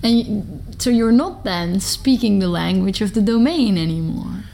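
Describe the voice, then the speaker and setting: Dutch lady hosting, mid-pitch voice, short pointed questions. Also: moderate pace, vocal fry, warm tone. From Netherlands. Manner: brief probing questions. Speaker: podcast host. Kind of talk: interviewing.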